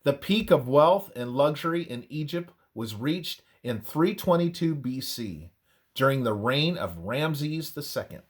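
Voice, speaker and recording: Male narrator, mid-pitched, for scripted religious history. Unhurried, deliberate read with widely varied intonation. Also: animated.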